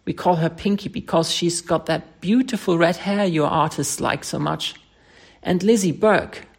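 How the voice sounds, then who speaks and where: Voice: medium pitch, unhurried, creaky, slightly rough. A reader voicing a merry young woman, an artist's model who chatters and gushes, in an audiobook story. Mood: merry, chatty. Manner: chatty, girlish, gushing.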